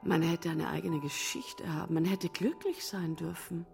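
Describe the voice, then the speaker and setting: An actress in a radio drama playing a bitter, proud, long-suffering wife, reproachful and theatrical. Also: female voice, lowish voice, steady tone, normal speed, gravelly, darkish tone. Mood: bitter, aggrieved. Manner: reproachful, theatrical, emotional monologues. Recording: studio radio play over music.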